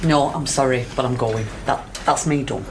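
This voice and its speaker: An actress playing a weary, homesick, doubting miners' wife in a naturalistic radio drama. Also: female voice, rumbling low, normal speed, noisy background. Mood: resigned.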